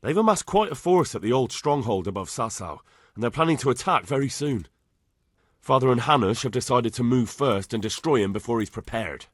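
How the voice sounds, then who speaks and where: Male actor as young squire, respectful, earnest, husky, dry studio sound, game drama. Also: medium pitch, lively intonation, a little fast, no echo, natural voice. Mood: urgent, reporting. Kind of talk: video game acting.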